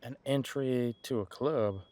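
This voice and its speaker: A man playing a centuries-old, easygoing detective in an audio roleplay, talking casually and folksily as he muses aloud with pauses. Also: medium pitch, warm tone, close to an everyday voice. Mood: musing. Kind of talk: detective roleplay audio, one side of a conversation.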